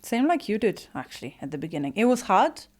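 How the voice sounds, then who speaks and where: A woman's voice: medium pitch, sing-song, fast. A woman hosting a casual interview, prompting her guest with short, teasing questions. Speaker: interviewer host.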